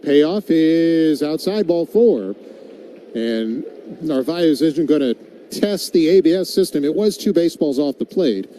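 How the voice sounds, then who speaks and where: Male radio baseball play-by-play announcer, very soft-edged and mellow tone, slightly higher register, live game noise underneath. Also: animated, unhurried. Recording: live broadcast with clear background noise.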